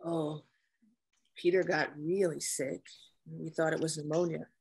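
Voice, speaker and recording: A woman acting a grieving, guarded female naval officer and caretaker at a screenplay table reading, with measured, halting delivery. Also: low voice, level tone, creaky, darkish tone, breathy edge, full character voice. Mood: sombre recollection.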